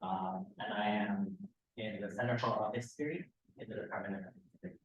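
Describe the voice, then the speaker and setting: A man from Kauai in Hawaii who works in oral history, introducing himself haltingly with many uhs. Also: on the low side. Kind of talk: self-introduction. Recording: lo-fi, echoey room with faint noise.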